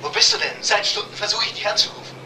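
Impatient crime boss male actor, high-pitched, ruthless, echoey with music behind. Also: monotone, quick pace, natural voice. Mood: impatient, menacing. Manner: impatient, insistent.

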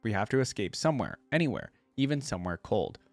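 Young man, slightly low voice, casual read-aloud and commentary, close desk mic, little room sound. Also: very animated, quick pace, a little mellow, no room sound, soft background music. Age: young adult. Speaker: reaction video host. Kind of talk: casual reaction commentary mixed with reading a story aloud. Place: indoor room at a streaming setup. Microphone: desk microphone up close.

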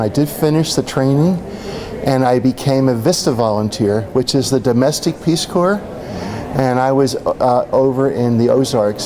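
Older man over crowd chatter. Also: medium pitch. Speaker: interviewee. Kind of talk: casual personal recollection. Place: indoor conference venue. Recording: crowd noise behind.

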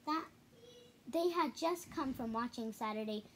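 A young girl of about ten to twelve reading a children's picture book aloud haltingly, with stumbles, repeats and casual asides. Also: a little high, lively intonation, a bit bright, a little hiss or hum. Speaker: storybook reader. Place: small living room at home, indoors.

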